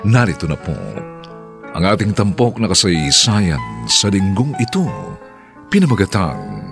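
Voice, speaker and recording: Man hosting radio drama, slow and ceremonious, lowish voice with big swoops, music under. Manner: measured, ceremonious. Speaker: programme host and narrator. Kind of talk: scripted radio drama presentation.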